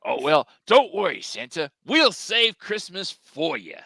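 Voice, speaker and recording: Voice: mid-range voice, big pitch swings, unhurried, vocal fry, sharp tone, no room sound, clean studio sound, exaggerated voice. A performer at a live comedic table read playing a plucky, earnest cartoon hero with exaggerated delivery. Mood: reassuring.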